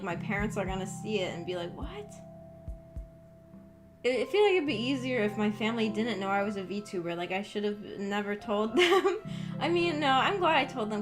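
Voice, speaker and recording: Young woman vtuber chatting on stream, kinda high voice, hesitant n rambly, music clearly playing behind her. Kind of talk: casual livestream chat.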